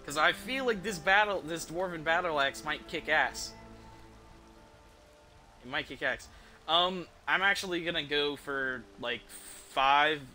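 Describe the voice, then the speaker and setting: Young man, very bright sharp voice, slightly high, casual sweary game streamer, music behind. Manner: profane, informal slangy talk. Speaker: video game livestreamer. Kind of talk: casual gameplay commentary with viewer chat. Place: indoor room, streaming from a desk. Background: video game music.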